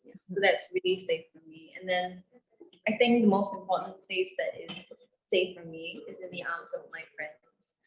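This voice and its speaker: A woman from Singapore, a singer answering at a press conference, thoughtful and halting. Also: lowish voice. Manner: thoughtful, halting, searching for words. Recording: roomy echo.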